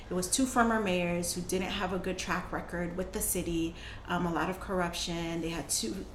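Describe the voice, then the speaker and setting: A woman's voice: fairly low pitch, fairly bright tone. A young woman, a guest on an interview show, telling her story in an earnest, conversational way and laughing easily. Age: young adult. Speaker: guest, climate justice program manager. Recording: echoey room with faint noise.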